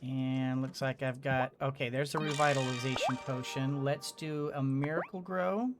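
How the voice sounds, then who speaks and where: A gamer giving casual commentary on a video game in a halting way, thinking aloud and repeating words. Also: mid-range voice, steady tone, over music. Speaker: gamer, let's-play host. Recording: clearly noisy background.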